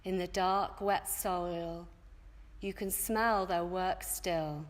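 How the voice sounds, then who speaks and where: A woman yoga teacher narrating a guided relaxation in a soothing, measured way with long pauses between phrases. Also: on the low side, fairly even intonation. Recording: echoey room with long reverb.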